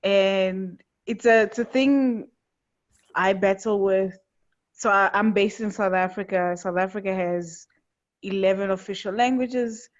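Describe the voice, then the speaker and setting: A woman's voice: mid-range voice, fairly even intonation, unhurried. Woman host from Angola, mid-range voice, earnest and searching; muffled lo-fi video call sound. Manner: earnest, searching, with frequent restarts. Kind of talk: conversational interview. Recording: dull lo-fi sound.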